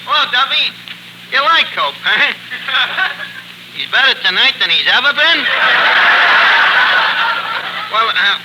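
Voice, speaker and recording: 1940s radio comedy: bumbling tavern manager, pompous malapropisms, very high voice, heavy broadcast noise. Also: male voice. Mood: pompous patter. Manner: pompous malapropisms, fumbling delivery. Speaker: host.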